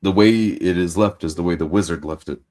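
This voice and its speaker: Very deep-voiced tabletop player. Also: male voice, a little mellow. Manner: hesitant, halting speech. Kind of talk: in-character tabletop play.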